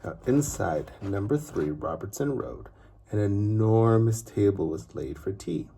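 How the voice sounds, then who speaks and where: A man narrating a children's story expressively, with deliberate phrasing and dramatic pauses. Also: on the low side, animated, gravelly, warm tone, breathy edge.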